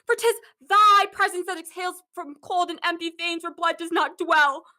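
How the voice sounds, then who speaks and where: A woman's voice: extremely high pitch, normal speed, sharp tone, exaggerated voice. A young woman playing a grieving, furious noble widow in a Shakespearean radio play, declamatory and scornful. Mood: outraged, fearful. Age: young adult. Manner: declamatory, impassioned. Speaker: acting student.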